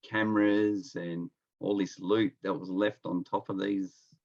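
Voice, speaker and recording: A man's voice: low-pitched, little pitch movement, a little slow, darkish tone, dull audio. A middle-aged Australian man from Melbourne chatting as an interview guest, telling stories in a laid-back, colloquial way. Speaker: interview guest, experienced nurse. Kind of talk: casual anecdotal chat. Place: at home, on a remote call.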